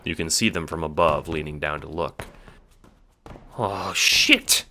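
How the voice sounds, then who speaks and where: Brief: male audiobook narrator, deep and bright-edged, wry, dramatic delivery of a scripted story. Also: flat delivery, brisk, slight background noise.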